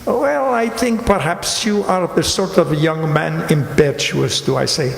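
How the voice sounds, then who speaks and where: An actor playing a sly, shabby fat Italian stranger in a radio drama, with an Italian accent and a courteous, theatrical, mock-humble manner. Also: male voice, on the high side, creaky. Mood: teasing, sinister. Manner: mock-humble, ornate, teasing, deliberate speech. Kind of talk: amateur radio drama acting. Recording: very echoey reverberant hall.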